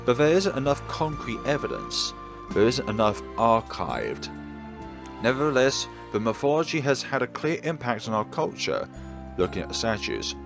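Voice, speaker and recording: A man's voice: medium pitch, big pitch swings, normal speed, creaky, music in the background. A man reading a courteous young gondolier. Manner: courteous tour-guide reading. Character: young male gondolier and tour guide.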